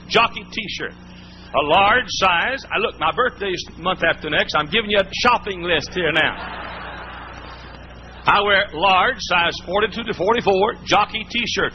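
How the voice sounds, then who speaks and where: A man preaching a sermon in a church, folksy and emphatic, repeating his points. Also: high-pitched. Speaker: pastor. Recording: muffled old sermon tape, faint hiss.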